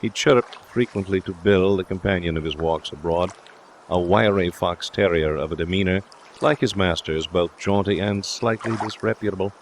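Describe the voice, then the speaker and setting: A man's voice: sits low, moderate pace, a little hiss or hum. A man reading scripted literary narration as an audiobook narrator.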